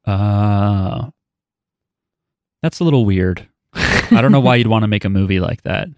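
A man's voice: on the low side, measured pace, a little mellow, smooth, dry recording, studio quality. A man hosting a podcast, chatting casually and reading out listener email with offhand, wry asides. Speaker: podcast host.

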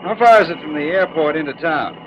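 Male actor as breezy, combative air captain, slightly high voice, vintage radio drama, muffled with engine noise. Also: lively intonation, quick pace, natural voice. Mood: breezy, assured. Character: confident, combative male military test pilot captain. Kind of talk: 1940s radio acting. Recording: dull vintage radio recording, vehicle noise.

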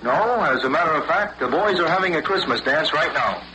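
A man's voice: fairly high pitch, animated, brisk, pushed and bright. An American man from Michigan, an army corporal, giving scripted answers in a 1940s radio interview. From Detroit, Michigan, United States. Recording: dull, hissy vintage 1940s radio broadcast.

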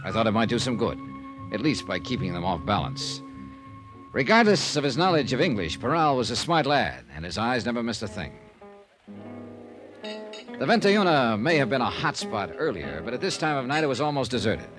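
An actor playing a terse, businesslike detective who narrates in a clipped, dry manner in a 1950s radio drama. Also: male voice, mid-range voice, sing-song, moderate pace, music in the background, lightly acted, poor audio. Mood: narrating.

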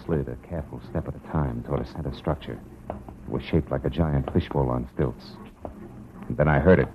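A muffled vintage radio recording with music carries a low-voiced private eye. He is tough and wry, tossing off clipped wisecracks in hard-boiled 1940s style. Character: wry, tough male private detective narrating his case.

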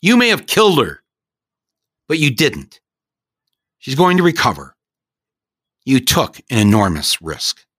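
Man voicing a severe lecturing doctor, emphatic, above the reader's own pitch. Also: wildly swooping pitch, measured pace, pauses often, a bit bright, breathy edge, no room sound, studio quality, heavily acted. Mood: stern. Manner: scolding, emphatic. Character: stern male hospital doctor, lecturing.

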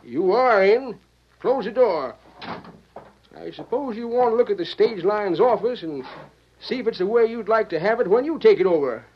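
A fiery old American frontier businessman in a radio Western. His voice sits very high for a man, and he blusters stubbornly in folksy frontier speech. The sound is dull, lo-fi vintage radio. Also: lively intonation, moderate pace, a little hiss or hum. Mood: gruff, suspicious. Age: older. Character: fiery, stubborn old frontier stage line owner, a father.

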